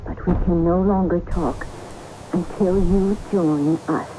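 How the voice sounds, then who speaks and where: An actress playing a frightened young wife, later a ghost, in an old radio drama, pleading and emotional. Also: female voice, lowish voice, fairly even intonation, slow pace, background noise. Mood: ominous. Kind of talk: 1970s radio drama acting.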